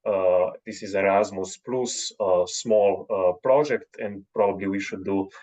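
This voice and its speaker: A man, a co-organizer giving announcements on a video call in a halting way full of fillers. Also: low-pitched, darkish tone. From Slovenia. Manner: halting, many filler sounds. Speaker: panel co-organizer. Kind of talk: event announcements. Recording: dull lo-fi video call audio.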